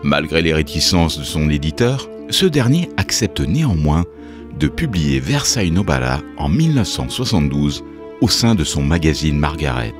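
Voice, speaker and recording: Very deep-voiced French man narrating. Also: big pitch swings, moderate pace. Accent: French from France. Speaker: documentary narrator. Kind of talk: scripted narration. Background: music behind the voice-over.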